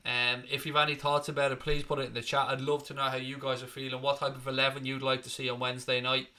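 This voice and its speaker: A young man with an Irish accent talks through viewer comments on a live stream in a loose, blunt, chatty way, dropping the odd swear word. His voice has a very bright, forceful edge that cuts through. The pitch sits in an ordinary middle range. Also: level tone, quick pace. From Ireland. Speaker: fan channel host.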